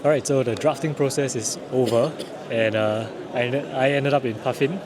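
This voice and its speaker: Chatty Singaporean man at a busy tournament. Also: mid-range voice. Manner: chatty, upbeat, quick affirmations. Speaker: vlogger and tournament player interviewing opponents. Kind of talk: casual on-the-spot interviews and vlog narration. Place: busy card game tournament venue. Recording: busy crowd noise behind. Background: crowd chatter.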